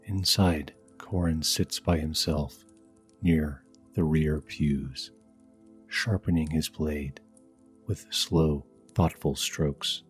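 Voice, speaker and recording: A man narrating a scripted ASMR fantasy game as game master, hushed and deliberate, with dramatic pauses. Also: rumbling low, steady tone, soft background music.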